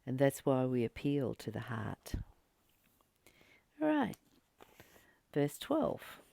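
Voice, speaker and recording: A woman teaches from a spiritual text in a low voice with a lively, swinging melody. She reads passages aloud and reflects on them deliberately, pausing and repeating phrases for emphasis. The recording is close and dry, with no room sound. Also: gravelly, no room sound. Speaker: spiritual teacher and channel host. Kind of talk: spiritual book study, reading aloud with reflective commentary.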